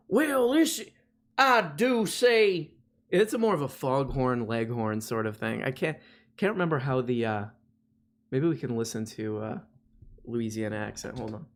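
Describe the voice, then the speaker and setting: A man from Florida hosting a livestream, chatting casually in a rambling, self-mocking way full of fillers and restarts. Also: mid-range voice. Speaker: livestream host. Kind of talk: casual chat.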